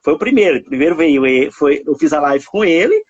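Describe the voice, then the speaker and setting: A man from hosts a live stream in casual chat. His voice sits high and swings widely in pitch. It has a husky, dry sound, and he leans on tag questions. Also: fairly quick, no echo, lo-fi sound. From Minas Gerais, Brazil. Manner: warm, chatty, animated, frequent tag questions.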